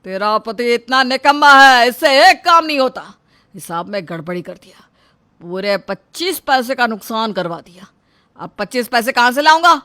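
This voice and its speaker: A performer voicing a tyrannical middle-aged father who is domineering, threatening and quick to shout in a melodramatic story. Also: mid-range voice, expressive. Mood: furious. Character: tyrannical, short-tempered middle-aged father and shop owner. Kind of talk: melodramatic audio story dialogue.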